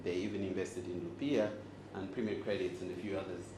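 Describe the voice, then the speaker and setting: Man from Zambia, forties, deeper voice, playful speaker quizzing audience, roomy echo, background noise. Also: steady tone, brisk. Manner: joking and engaging, quizzes the crowd. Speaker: host and speaker. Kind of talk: live talk to an audience. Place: event room with audience. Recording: echoey room, audible noise.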